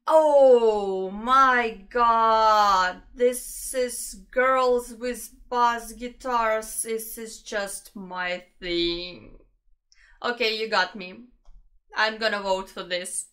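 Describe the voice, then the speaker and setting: A woman's voice: on the high side, steady tone, drawn-out words, a bit bright. A young woman with a Ukrainian accent giving enthusiastic, exclamatory reaction commentary in English as a non-native speaker, in a casual vlog style. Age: young adult. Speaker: reaction vlogger. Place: small indoor room.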